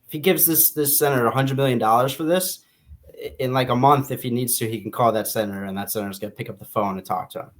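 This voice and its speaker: A man co-hosting a podcast, chatting casually about a book in an informal, halting way, often rephrasing his points. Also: medium pitch. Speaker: podcast co-host discussing a book.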